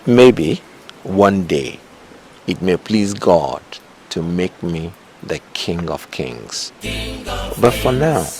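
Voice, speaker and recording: Humble, slow-speaking principled young kinsman. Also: deep voice, sing-song, darkish tone, slight background noise, exaggerated voice. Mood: solemn, humble. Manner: measured, earnest, deliberate. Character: humble, principled nephew addressing his uncle respectfully. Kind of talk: film drama acting.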